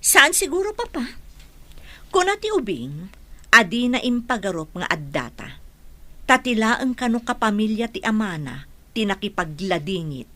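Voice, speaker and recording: Actress as a lively, coaxing Ilocano aunt. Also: female voice, medium pitch, normal speed, long pauses, no echo. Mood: cautious, explaining. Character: well-off aunt, grown daughter gently pleading with her father. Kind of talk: Ilocano radio drama acting.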